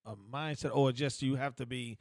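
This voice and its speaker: Halting middle-aged male co-host. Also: medium pitch, fairly even intonation, gravelly, dry recording, studio quality. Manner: halting, rambling storyteller with many restarts. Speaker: podcast co-host. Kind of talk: casual crude group chat.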